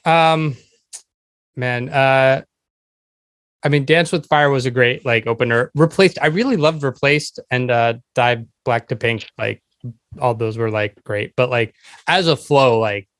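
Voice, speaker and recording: A man's voice: medium pitch, a little slow, fairly bright tone, clean tone, dry recording. A man chatting casually as the host of a podcast. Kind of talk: casual chat.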